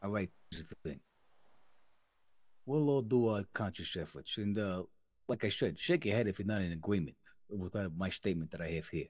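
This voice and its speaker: A man's voice: sits low, fairly quick, no room sound. A man playing a firm mafia boss in an improvised online crime roleplay, swearing freely and talking at length to push for agreement. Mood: firm, negotiating. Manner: profane, long-winded, pushes for agreement. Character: mafia boss negotiating debts, firm and wordy.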